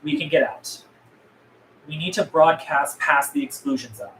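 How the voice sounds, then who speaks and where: A woman's voice: sits low, moderate pace, close to an everyday voice. A woman playing a wary, blunt survivor who questions and negotiates, in a film script table read. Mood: wary, determined. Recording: roomy, echoey with room noise.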